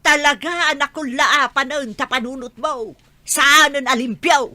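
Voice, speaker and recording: A woman's voice: high voice, fairly even intonation, unhurried, sharp tone, exaggerated voice. A woman playing an indignant older village mother in a radio drama, nagging bluntly with an Ilocano accent. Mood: indignant scolding.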